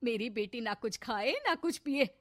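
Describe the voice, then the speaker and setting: A woman voicing a bitter middle-aged stepmother in a cartoon moral story, taunting and complaining with a sharp tongue. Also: fairly high pitch, sing-song, normal speed, no echo, clean studio sound. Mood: angry, taunting.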